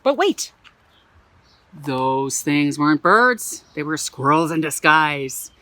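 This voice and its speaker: A woman reading a picture book aloud to children as a museum storyteller, animated and chatty, with little asides. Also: low voice, slow, dry recording.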